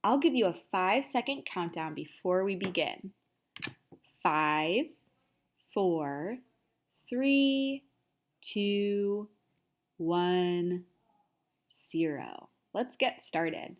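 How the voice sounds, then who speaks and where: A woman speaking as a teacher introducing a read-aloud for children, with deliberate phrasing. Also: lowish voice, animated.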